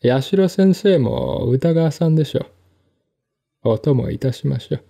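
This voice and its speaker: A man's voice: on the high side, lively intonation, a little slow, vocal fry, mellow, voice pushed up, full character voice. A man voicing a burly, thuggish lawyer in a mystery audio drama, smirking, falsely polite and brazenly familiar. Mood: brazen familiarity.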